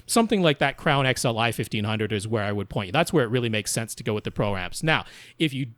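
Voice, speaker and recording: A man's voice: mid-range voice, lively intonation, fast, fairly bright tone, no room sound. A man co-hosting a podcast, giving detailed, casual gear advice in a rambling, explanatory way. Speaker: podcast co-host. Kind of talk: casual product advice and discussion.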